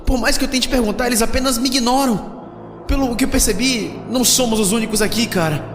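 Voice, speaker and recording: Um homem interpretando um prisioneiro apavorado num audiodrama de terror, falando de modo coloquial e emotivo. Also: male voice, very high-pitched, fairly quick, a bit bright. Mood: anxious, relieved. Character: terrified male captive, close friend of the narrator. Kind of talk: horror audio drama acting. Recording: echoey hall reverb, music behind.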